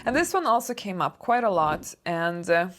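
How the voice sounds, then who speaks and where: A woman's voice: lowish voice, very animated, moderate pace. Swedish-born woman vlogging to camera. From Sweden. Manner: earnest, explaining and setting the record straight. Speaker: YouTube host, elegance educator. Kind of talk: casual talking-to-camera vlog.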